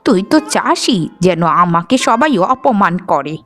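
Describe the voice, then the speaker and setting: A woman's voice: medium pitch, very animated, unhurried, fairly bright tone, soft background music. A woman voicing a weary, middle-aged village mother in a cartoon, nagging and reproachful. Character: weary, scolding poor widowed village mother of twins. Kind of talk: animated folk-tale cartoon voice acting.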